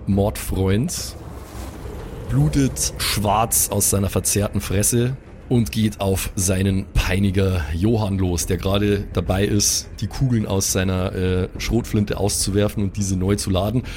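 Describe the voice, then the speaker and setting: Low-voiced man as game master. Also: steady tone, darkish tone, soft background music. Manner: descriptive, with pauses to improvise. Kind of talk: improvised narration of a tabletop role-playing game.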